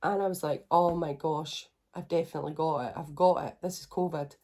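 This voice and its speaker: Casual vlogger, thirties, woman, congested nose, slightly low voice, rambling and chatty. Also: fairly even intonation, a little fast, dark tone. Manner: chatty, rambling, self-reassuring. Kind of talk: casual video diary.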